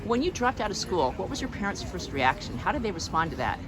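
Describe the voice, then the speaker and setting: A woman's voice: medium pitch, big pitch swings, fast, rough recording. A woman interviewing a farmer at a farmers market, talking with enthusiasm and telling stories. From San Diego, California. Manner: enthusiastic, storytelling. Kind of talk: on-location interview. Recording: outdoor street noise, traffic.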